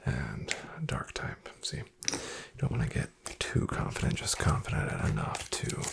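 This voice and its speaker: A man playing a shady, wryly menacing back-alley casino dealer in a soft-spoken, breathy ASMR roleplay, with an unhurried drawl. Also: natural voice. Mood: sly chiding. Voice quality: whispery, breathy, gravelly. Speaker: card game dealer in a roleplay.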